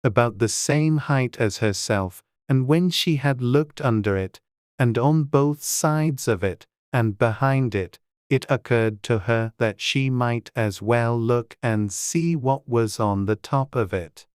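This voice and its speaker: Slow, measured storybook narrator. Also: mid-range voice, clear, resonant tone, no room sound, clean studio sound. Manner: measured, unhurried, gently expressive. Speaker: audiobook narrator.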